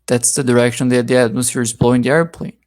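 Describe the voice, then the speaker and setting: A man's voice: medium pitch, little pitch movement, quick pace, darkish tone. A man from Brazil, explaining physics step by step in a heated voice-chat debate.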